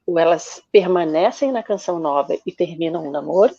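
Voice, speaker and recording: Carioca woman, low mellow voice. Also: creaky, husky, no room sound, muffled recording. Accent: Carioca Brazilian Portuguese. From Rio de Janeiro, Brazil. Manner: explains patiently with many pauses and fillers. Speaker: guest journalist. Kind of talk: casual podcast conversation.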